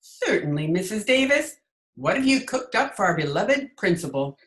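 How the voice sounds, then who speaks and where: A woman's voice: mid-range voice, sing-song, normal speed, fairly bright tone. A woman playing a witty, sardonic schoolteacher with dry wisecracks in an old-time radio comedy, recorded over a video call. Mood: wry, sardonic. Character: witty, sardonic female high school English teacher. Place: recorded remotely at home. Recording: echoey room on video call.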